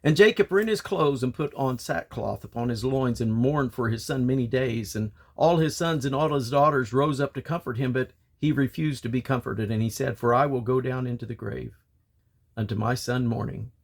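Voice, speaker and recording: Man, medium pitch, Bible reader, scripture narration, deliberate clause-by-clause phrasing. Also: creaky. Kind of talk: read-aloud scripture narration.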